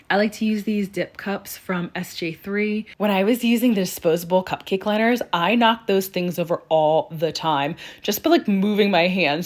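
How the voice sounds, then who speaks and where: A young woman, slightly raspy because she is sick, hosting a chatty, self-deprecating nail tutorial voice-over. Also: mid-range voice. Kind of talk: casual how-to voice-over.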